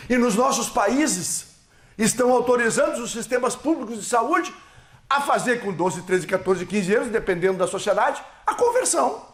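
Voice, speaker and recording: A man with a Brazilian Portuguese accent, giving an emphatic political seminar speech with slides, his voice swelling and dropping. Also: high voice. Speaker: seminar speaker. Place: lecture hall. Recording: echoey hall.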